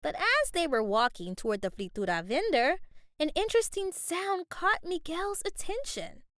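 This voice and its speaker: Woman reading a kids' book aloud, high and sing-song, slow, close and dry. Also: no echo. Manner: expressive, carefully paced reading. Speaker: children's book reader. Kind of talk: read-aloud storytime narration.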